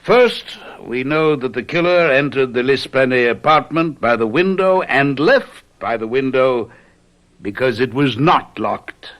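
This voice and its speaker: An actor playing a confident, theatrical detective in a radio mystery drama, speaking in a deliberate, emphatic, urbane way. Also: male voice, mid-range voice, animated, fairly bright tone. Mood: explaining, assured. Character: confident, theatrical male detective lecturing his companions.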